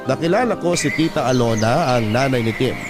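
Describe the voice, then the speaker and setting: A man narrates a personal love letter as a scripted first-person story. His mid-range voice is conversational and confiding. Music plays clearly beneath him. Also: medium pitch, expressive, a little fast.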